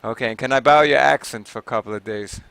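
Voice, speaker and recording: A man hosting a casual online interview, friendly and asking quick practical questions. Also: mid-range voice, vocal fry, fairly bright tone, clear, resonant tone, no echo. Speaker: interviewer, host.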